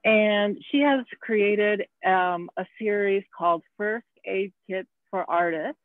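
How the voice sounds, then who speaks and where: A woman moderating a panel over a video call, introducing the next speaker slowly with many fillers. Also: medium pitch, no echo. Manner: slow, hesitant with fillers. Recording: tinny phone-like video call audio.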